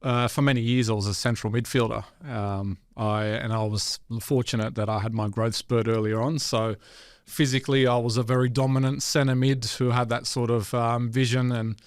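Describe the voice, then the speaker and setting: A man's voice: mid-range voice, little pitch movement, normal speed, smooth, no echo, studio quality. An Australian man, a former goalkeeper, talking about his football upbringing in a casual interview, thoughtful with many fillers. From Western Sydney, Australia. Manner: reflective, many fillers and restarts.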